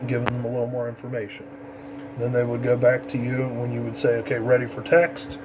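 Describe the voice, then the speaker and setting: A man with a medium-pitched voice gives an informal tutorial. He explains things step by step in a casual, halting way, as an instructor would. A clearly audible steady noise runs behind his voice. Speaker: amateur radio instructor. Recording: noisy, steady background hum.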